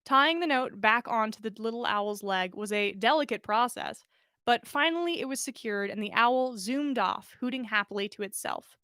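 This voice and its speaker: High-voiced woman reading a story aloud. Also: normal speed, sharp tone, dry recording, clean studio sound. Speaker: podcast host reading fan fiction aloud. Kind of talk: expressive storybook narration.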